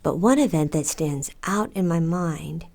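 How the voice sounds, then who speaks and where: A woman's voice: deep voice, unhurried, gravelly, a little mellow, full character voice. A woman playing a strong-minded, apologetic, earnest mother in an audio drama. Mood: calm, reminiscing.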